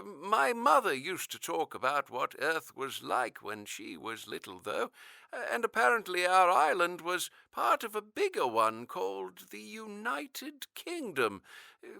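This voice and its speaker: A very old man with a gravelly creak and slow, halting delivery. His pitch swoops widely as he speaks, courteous, old-fashioned and wistful, in a radio drama interview. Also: pushed and bright, no echo, exaggerated voice. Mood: reminiscing. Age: older. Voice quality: creaky, aged voice. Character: ninety-three-year-old man, gentle Earth-born elder, wistful.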